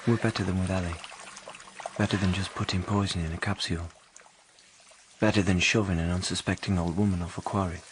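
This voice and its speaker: Man acting a low-voiced brooding young killer. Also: fairly even intonation, fairly quick, slight background noise, close to an everyday voice. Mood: brooding, cold. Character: haunted young male killer, brooding and confessional. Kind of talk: first-person radio drama narration.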